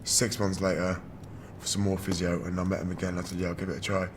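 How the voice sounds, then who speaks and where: A British man in his twenties with a rough, damaged voice, speaking candidly as a wheelchair rugby player in an interview. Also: low voice, completely flat delivery, quick pace. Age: 20s. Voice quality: damaged, rough voice from throat surgery. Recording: background noise on location.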